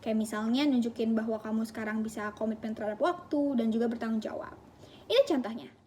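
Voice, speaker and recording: A woman's voice: fairly high pitch, fast, darkish tone, a little hiss or hum. A young adult woman with an Indonesian accent presenting scripted tips as a vlog host, chatty and explanatory, speaking straight to viewers. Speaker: student vlog host giving interview tips.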